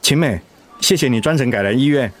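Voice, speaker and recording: A man's voice: medium pitch, expressive, fairly quick, close to an everyday voice. Man doing a middle-aged son, earnest and subdued, mid-range voice, radio play. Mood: somber. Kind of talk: radio drama acting.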